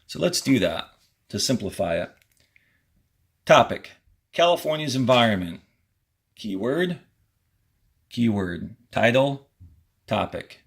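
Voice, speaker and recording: Male elementary teacher, mid-pitched, giving deliberate instructional read-aloud with short directives for young pupils. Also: sing-song, gravelly, husky. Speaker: fourth-grade teacher.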